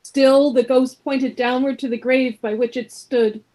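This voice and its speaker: A woman narrating a ghost tale in a radio play, with measured, dramatic storytelling. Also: high-pitched, fairly even intonation, dark tone. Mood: grave, suspenseful.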